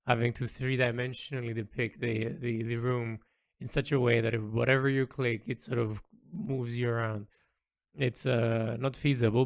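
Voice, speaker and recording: A man speaking an unscripted, reflective audio log as an illustrator and storyteller, halting often to search for words and repeat himself. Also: mid-range voice.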